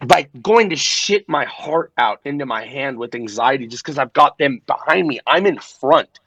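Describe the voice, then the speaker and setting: Young male DJ guest, gravelly, dry close sound, rambling and profane. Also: mid-range voice, fairly bright tone, no room sound, highs cut off. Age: 20s. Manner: rambling, profane storytelling with quick impressions. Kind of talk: casual podcast chat.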